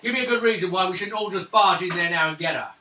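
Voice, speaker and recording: A man voicing an angry male villager leading a mob, loud and threatening. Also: sits high, fast talker, pitched above the performer's own voice. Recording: roomy room, mic far off.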